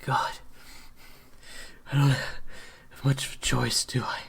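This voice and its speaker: A man playing a pained, cornered hired thug under interrogation in an audio drama, husky, halting and reluctant. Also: mid-range voice, level tone, a bit bright, whispered, exaggerated voice. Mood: despairing. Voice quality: breathy, gravelly, husky. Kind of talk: audio roleplay acting.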